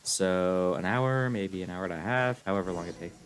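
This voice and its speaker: A man's voice: low voice, moderate pace, a little hiss or hum. A young man casually commenting on a game as he livestreams it. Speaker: game streamer. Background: game music.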